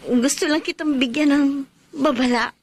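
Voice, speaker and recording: A woman's voice: high-pitched, moderate pace. An actress in a radio drama playing an earnest young woman who warns a friend. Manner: earnest, turning frantic.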